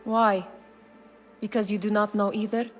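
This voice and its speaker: A woman's voice: mid-range voice, flat delivery, a little slow, soft background music, close to an everyday voice. An actress playing an earnest, challenging young woman in a video game, probing and intense. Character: insistent, challenging young woman, old friend of an assassin. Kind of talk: video game cutscene acting.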